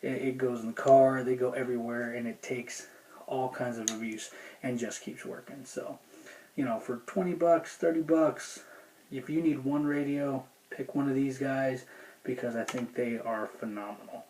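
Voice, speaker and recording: A man's voice: medium pitch, fairly even intonation, moderate pace, warm tone, slight background noise, rough recording. A man giving a casual, unscripted product review in a plainspoken, folksy, rambling way. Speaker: gear review video host.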